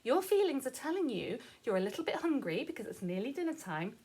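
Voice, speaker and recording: A woman playing someone's friendly, caring feelings, making a pretend phone call with gentle advice for children's storytime. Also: high-pitched, sing-song, a little fast, breathy edge, exaggerated voice. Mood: kindly advising.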